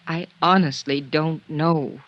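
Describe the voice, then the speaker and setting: A woman's voice: deep voice, fairly even intonation, slow, no room sound. A dull 1950s radio recording holds a middle-aged woman as an estranged wife. She sounds anxious and guarded, with the careful delivery of period radio drama. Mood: strained, evasive.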